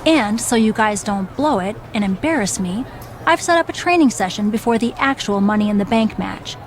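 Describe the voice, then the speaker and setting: A woman's voice: medium pitch, lively intonation, moderate pace, slight background noise, lightly acted. A woman playing a bossy, seasoned wrestling legend in video game dialogue, firm, matter-of-fact and wry. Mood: commanding.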